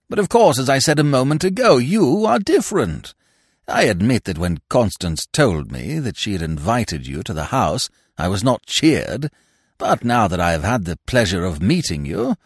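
A man's voice: mid-range voice, sing-song, moderate pace, husky, dry recording, clean studio sound. A man voicing a fussy, absent-minded old lord in an audiobook, with a stammering, blurting way of talking. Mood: apologetic, affable.

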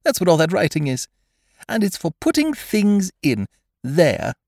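A man's voice: high-pitched, wildly swooping pitch, measured pace, darkish tone, dry recording, clean studio sound, voice pushed up, exaggerated voice. A man voicing a cheerful, simple bear in a storybook, earnest and eager. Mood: excited.

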